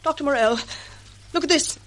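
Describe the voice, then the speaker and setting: A woman's voice: sits high, normal speed, close to an everyday voice. An actress playing a polite, helpful young lady of the household in a radio drama. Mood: urgent. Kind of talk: British radio drama acting.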